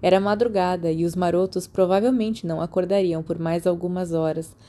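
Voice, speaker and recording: A woman's voice: fairly low pitch, fairly even intonation, fairly quick, dark tone. A Brazilian woman reading a story aloud as scripted audiobook narration. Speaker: podfic narrator.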